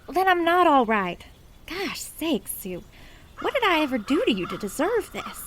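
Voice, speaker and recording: A timid young country waitress in a radio drama. She talks in folksy, ungrammatical phrases, hesitant and nervous, in a slightly high voice. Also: sing-song, normal speed, a bit bright. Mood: fretful.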